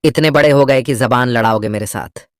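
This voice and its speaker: A man's voice: mid-range voice, fairly quick, smooth, dry recording, studio quality, lightly acted. Man acting a bossy, greedy elder brother. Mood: scolding. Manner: domineering, scolding. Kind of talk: children's cartoon story voice acting.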